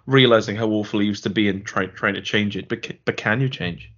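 A man co-hosting a podcast, chatting casually and reading out a listener email with a dry, deadpan delivery. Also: fairly low pitch, a little fast. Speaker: podcast co-host. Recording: dull lo-fi podcast audio.

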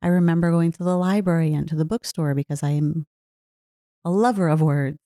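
A woman speaking in an earnest, heartfelt way, reflecting slowly on her own life. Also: low voice, warm tone, no echo, studio quality. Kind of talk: reflective personal talk.

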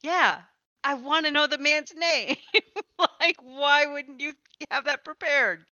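Woman, bright forceful tone, playful chatty host, dry slightly dull close recording. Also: high voice, takes it slowly, no echo. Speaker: podcast host and interviewer. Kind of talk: casual, animated podcast chat about tabletop gaming.

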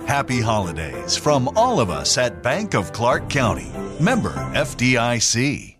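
A man's voice: medium pitch, huge pitch swings, a little slow. A man announcing a scripted radio advertisement in a friendly sales tone. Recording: voice over background music.

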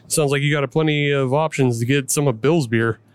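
A man's voice: medium pitch, fairly quick, clear, resonant tone, dry recording. A man hosting a casual podcast, joking and profane, laughing often. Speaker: podcast host.

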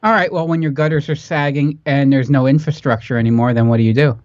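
A man's voice: mid-range voice, steady tone, fast talker, darkish tone, dry recording, muffled recording. A man with a nasal voice, bantering casually as a podcast co-host.

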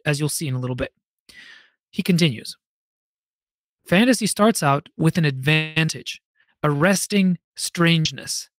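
Higher-pitched man giving a reflective podcast essay. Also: a bit bright, no echo. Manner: literate, opinionated, emphatic with deliberate pauses. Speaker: podcast host.

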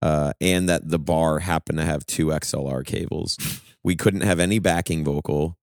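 A man with a very deep voice chats on a podcast, animated and quick to curse or laugh at himself. The sound is dry and close. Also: no echo. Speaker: podcast co-host and touring musician. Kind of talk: casual chat.

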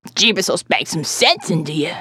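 A woman voicing a croaking, preachy woman of sixty in audio fiction, scolding in folksy rustic speech. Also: lowish voice, expressive, moderate pace, pushed and bright, dry recording, studio quality, pitched below the performer's own voice, heavily acted. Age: 60s. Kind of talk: audio fiction character acting.

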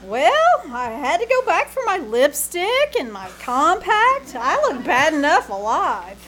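High, slow, dizzy melodramatic starlet. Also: female voice, huge pitch swings, a bit bright, exaggerated voice. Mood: excited, flighty. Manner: theatrical, mournful mock-tragic flourishes. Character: dizzy, melodramatic young Hollywood starlet, self-dramatizing. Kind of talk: old-time radio comedy acting. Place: small-town theater stage, live performance. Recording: noisy live stage recording.

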